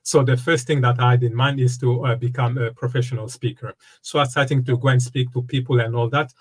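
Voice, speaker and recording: A man in his fifties from the Democratic Republic of Congo, a wellness coach speaking as an interview guest, talking in a halting way with many fillers and restarts. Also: medium pitch, steady tone, a little mellow. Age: 50s. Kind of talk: casual interview conversation.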